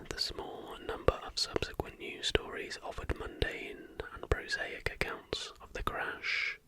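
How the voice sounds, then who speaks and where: The voice is a breathy whisper with no voiced tone at all. It reads scripted narration in ASMR style, moving through the text in short, measured phrases. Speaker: ASMR video host.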